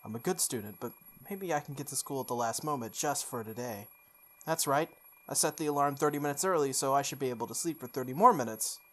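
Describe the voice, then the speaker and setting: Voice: medium pitch, fast talker, a bit bright. A game playthrough host expressively reading story text aloud, stumbling and correcting along the way, with off-the-cuff asides.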